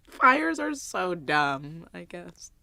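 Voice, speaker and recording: A woman acting as a sulky young evacuee in a radio play. She drags her words out very slowly with a gravelly fry, sounding exasperated, and her pitch swings widely. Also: pushed and bright, dry recording, studio quality, exaggerated voice. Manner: exasperated, grudging. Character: frustrated, sulky young person fleeing a wildfire.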